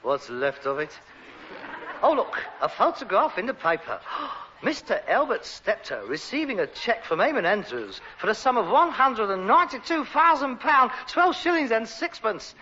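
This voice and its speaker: An actor playing an exasperated, sarcastic adult son with mock-refined airs and a London Cockney accent in a vintage British sitcom. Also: male voice, high-pitched, big pitch swings, moderate pace, bright, forceful tone, exaggerated voice. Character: exasperated, sarcastic adult son with aspirations, rag and bone man. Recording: dull, noisy vintage broadcast recording.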